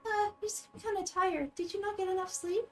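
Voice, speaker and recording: Small floating game sidekick, female and childlike, played by a woman: very high, squeaky, whiny, exclamatory. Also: fairly even intonation, fairly quick, far from the mic, soft background music. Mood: excited, fussy. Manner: whiny, exclaiming, refers to self in third person.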